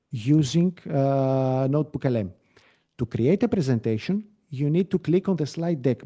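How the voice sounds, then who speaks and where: A man whose first language is Greek, giving a live screen-shared software walkthrough on a video call in a relaxed, explanatory way, with fillers and self-corrections. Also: mid-range voice. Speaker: webinar presenter.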